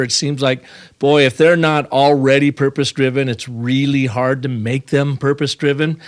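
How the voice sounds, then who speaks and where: Host read: man with a mid-range voice, relaxed questions, thinks out loud. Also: mid-range voice. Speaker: podcast host. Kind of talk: podcast interview conversation.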